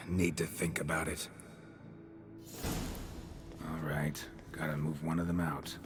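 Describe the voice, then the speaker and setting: A terse monster hunter with a very low, gruff voice. He speaks curtly and dryly over clear game music. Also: steady tone, slow, full character voice. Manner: gruff, curt, dry. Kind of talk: video game voice acting.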